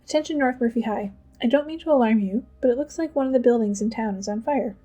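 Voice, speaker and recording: A woman playing a composed, decisive teenage schoolgirl in an audio drama, speaking in a matter-of-fact, deadpan way. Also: a little high, fast talker, dull audio, natural voice. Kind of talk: audio drama, understated dialogue.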